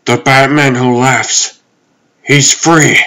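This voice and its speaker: Man acting a slow, solemn, noble superhero. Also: medium pitch, level tone, sharp tone. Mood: resolute. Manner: solemn, measured, earnest. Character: noble, earnest adult male caped superhero. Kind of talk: comic dub voice acting.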